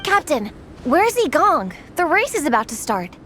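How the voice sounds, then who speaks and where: A woman's voice: sits high, big pitch swings, normal speed, a bit bright, slight background noise. A woman voicing a small, anxious young girl in a video game. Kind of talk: scripted game dialogue.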